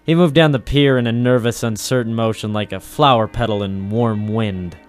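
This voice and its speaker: A man's voice: lowish voice, normal speed, soft background music, close to an everyday voice. Man as cynical hardboiled American private eye. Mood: cynical narration. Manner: clipped, sardonic, deadpan. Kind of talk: 1940s radio noir acting and first-person narration.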